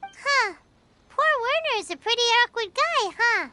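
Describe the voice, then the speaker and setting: A woman voicing a tiny, chirpy, blunt fairy companion in a video game, with a squeaky childlike voice. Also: expressive, a little slow, bright, forceful tone, slight background noise, exaggerated voice. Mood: puzzled. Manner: refers to self in third person, cutesy. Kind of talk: video game character acting. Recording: game music behind voice.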